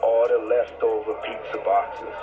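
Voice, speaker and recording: A man's voice: on the low side, little pitch movement, measured pace, narrow, tinny audio, music in the background. A man giving terse instructions in a conversational way, in a played clip.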